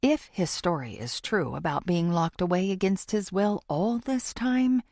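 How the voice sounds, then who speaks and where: A woman reading a first-person thriller audiobook in a dramatic, expressive way. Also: fairly low pitch, unhurried, no room sound, clean studio sound. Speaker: audiobook narrator.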